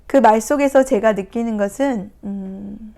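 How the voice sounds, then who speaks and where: A woman counselor speaks in a fairly high voice, telling a story gently and without hurry. She pauses softly now and then, in the calm, reflective tone of a video talk. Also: vocal fry. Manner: gentle, unhurried storytelling with soft hesitations. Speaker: counselor and coach. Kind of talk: reflective talk retelling a picture book. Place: recording her first video talk.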